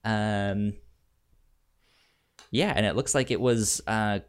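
A man co-hosting a casual review podcast, with a stutter that repeats sounds and a halting, easygoing delivery. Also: on the low side, clean tone. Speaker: podcast co-host.

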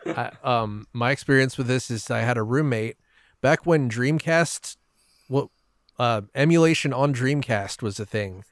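A man's voice: medium pitch, measured pace, no room sound, studio quality. A man chatting casually as a podcast guest, telling personal anecdotes.

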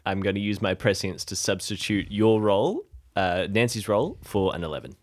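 A man's voice: fairly low pitch, lively intonation, normal speed. A man playing in an improvised audio drama, chatting casually and thinking aloud as he role-plays.

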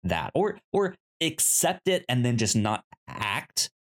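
A man co-hosting a casual discussion, speaking haltingly and stuttering on repeated sounds and words. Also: medium pitch, sing-song. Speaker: podcast co-host. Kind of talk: casual chat, film discussion.

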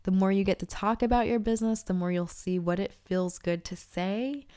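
A woman's voice: medium pitch, normal speed, warm tone, clear, resonant tone. Congested woman podcast host. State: congested from allergies. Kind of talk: casual podcast talk and read ads.

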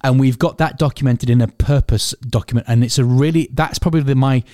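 A man's voice: medium pitch, a little fast, mellow, no room sound, studio quality. A man hosting a solo podcast, sniffling from a cold as he candidly explains his planning in a thinking-aloud way. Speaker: podcast host and business owner.

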